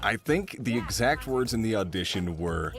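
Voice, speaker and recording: Animated, low-voiced young American man. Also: normal speed, soft background music. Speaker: voice actor being interviewed. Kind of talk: casual interview chat.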